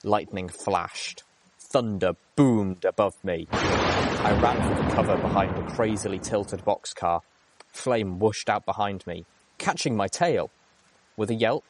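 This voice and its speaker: A man's voice: lowish voice, a little slow, noisy background. A man reading a first-person adventure story aloud as dramatic narration. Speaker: audiobook reader.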